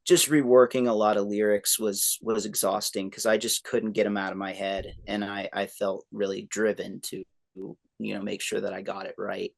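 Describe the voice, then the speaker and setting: A man's voice: on the low side, flat delivery, moderate pace, creaky. A man in his thirties, a musician guest, talking casually with many fillers and restarts. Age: 30s. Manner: rambling, self-deprecating, many fillers and restarts. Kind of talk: casual interview chat.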